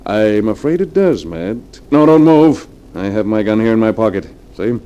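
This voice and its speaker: An actor in an old-time radio drama playing a ruthless, scheming rich businessman, smooth and coolly matter-of-fact. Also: lowish voice, very animated, normal speed, mellow, highs cut off. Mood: coldly menacing.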